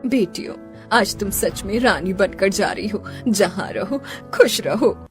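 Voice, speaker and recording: A woman's voice: medium pitch, a little slow, soft background music, natural voice. Kindly middle-aged mother voiced by a woman. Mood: tender. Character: warm middle-aged mother, kindly, dignified family elder. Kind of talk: Hindi cartoon story dialogue.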